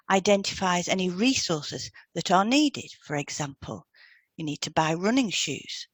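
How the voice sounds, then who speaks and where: A woman's voice: fairly low pitch, dry recording. A woman co-hosting a podcast, explaining therapy techniques in a scripted dialogue. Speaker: podcast co-host, hypnotherapist.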